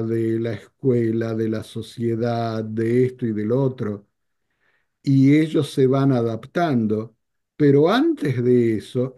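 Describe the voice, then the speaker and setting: An older man hosting a talk show over a video call, speaking in a measured, reflective way. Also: medium pitch, warm tone. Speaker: radio show host. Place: home office.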